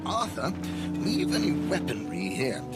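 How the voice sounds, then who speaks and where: An actor playing a flamboyant, scheming gentleman con artist in a video game, with a theatrical, grandiose, coaxing delivery. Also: fairly high pitch, wildly swooping pitch, unhurried, over loud music. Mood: assured, scheming. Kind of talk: video game cutscene acting.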